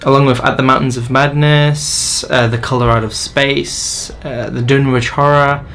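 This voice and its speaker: A man's voice: mid-range voice, fairly even intonation, normal speed, fairly bright tone, a little hiss or hum. A man narrating a scripted book review in an enthusiastic, opinionated way. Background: faint music.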